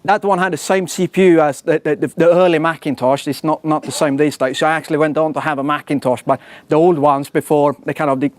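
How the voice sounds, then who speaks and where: A man's voice: a little high, fairly even intonation, fast, dry recording. A Swedish man in his forties with a Swedish accent, giving a casual, self-deprecating conference talk full of fillers and tag questions. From Sweden. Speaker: engineering leader giving a talk.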